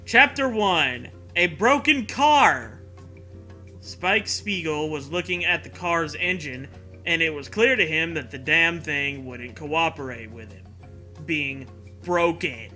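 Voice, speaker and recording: Male comedy host, very bright, lively swooping delivery, sarcastic story-reading with laughter. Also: on the high side, slow pace, faint music behind. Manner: animated, sarcastic, often laughing through words. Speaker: podcast co-host. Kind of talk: comedic riffing while reading fan fiction aloud.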